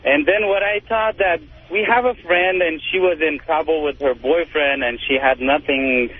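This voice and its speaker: A man's voice: on the high side, steady tone, normal speed. Tinny phone caller, a rambling man. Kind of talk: casual rambling storytelling. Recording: tinny, noisy phone line on radio.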